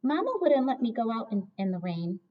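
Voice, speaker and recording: A woman's voice: fairly high pitch, very animated, a little fast, recorded from a distance, slight background noise. A protective mother in a children's storybook , voiced by a woman reading aloud. She is watchful over her child. The recording sounds a little dull.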